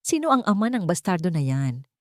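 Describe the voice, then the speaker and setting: A woman's voice: fairly low pitch, sing-song, fairly quick, no echo, clean studio sound. A woman voicing a sanctimonious, enraged family matriarch in a story, scolding with cutting contempt. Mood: enraged, accusing.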